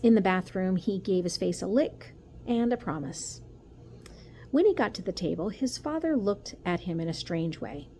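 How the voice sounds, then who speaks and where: A woman, a teacher, reading a children's story aloud in an expressive way with the odd stumble. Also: lowish voice, normal speed. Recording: noticeable background noise.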